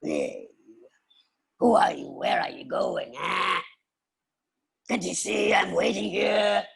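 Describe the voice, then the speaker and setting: Woman putting on a gruff, husky old lion. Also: medium pitch, wildly swooping pitch, slow, pitched above the performer's own voice, exaggerated voice. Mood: gruff, threatening. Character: gruff, lonely old lion, easily moved to tears.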